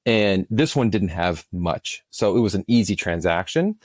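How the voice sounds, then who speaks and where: Man, very low pitch, dry recording, interview guest, explanatory, repeats phrases for emphasis. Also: animated, moderate pace, no room sound. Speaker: interview guest, film and audio drama producer. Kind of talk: conversational interview answers.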